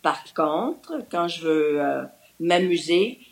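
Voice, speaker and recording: A woman's voice: sits low, expressive, takes it slowly. An older woman from New Brunswick with a Québécois French accent, a cheerful and talkative interview guest. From New Brunswick, Canada. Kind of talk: casual remote interview.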